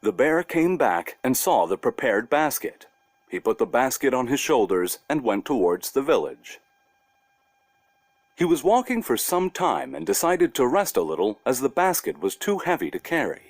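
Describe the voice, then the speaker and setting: Expressive male storyteller with lively pitch. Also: moderate pace, no room sound. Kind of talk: scripted children's story narration.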